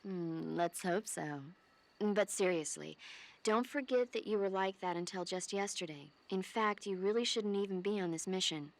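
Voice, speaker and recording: An actress playing a caring female military medic in a video game, earnest, playful and gently scolding. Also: mid-range voice, normal speed, natural voice. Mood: concerned scolding.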